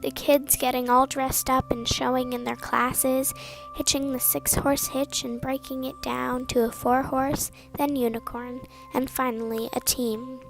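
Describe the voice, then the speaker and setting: High-voiced woman w some fry, reading a tribute sincerely, soft music under. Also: little pitch movement, a little slow, rough recording. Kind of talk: scripted narration of a written tribute. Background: faint background music.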